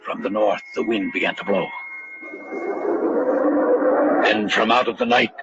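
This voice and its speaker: An actor playing a grave older villager who tells a legend in solemn, formal, measured speech, in an old radio drama. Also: male voice, fairly low pitch, fairly even intonation, natural voice. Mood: ominous. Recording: dull, noisy old radio recording.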